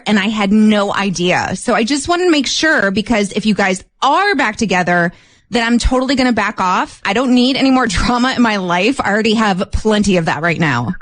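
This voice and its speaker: Animated female radio co-host. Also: mid-range voice, brisk. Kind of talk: casual radio chat.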